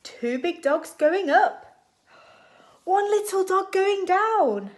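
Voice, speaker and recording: A woman reading a picture book aloud to young children in an expressive, deliberate storytime style. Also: very high voice. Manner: expressive, animated, deliberate phrasing for small children.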